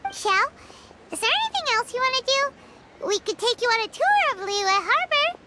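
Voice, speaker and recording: A woman's voice: very high voice, sing-song, moderate pace, heavy background noise. A woman voicing a tiny, perky girl fairy companion in an anime game, boastful and talking about herself in the third person. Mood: proud, eager.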